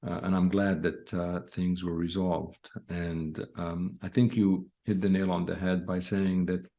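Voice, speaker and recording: A man hosting an interview podcast. His voice is low and gravelly, and he asks questions in a relaxed conversational way, pausing on fillers as he goes. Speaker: podcast host.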